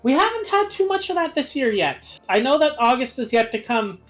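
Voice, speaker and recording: Guy w a pretty high voice, chatty gaming banter, faint music behind him. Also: sing-song, fairly quick, fairly bright tone. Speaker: gaming video co-commentator. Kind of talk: casual banter over a game session. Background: game music.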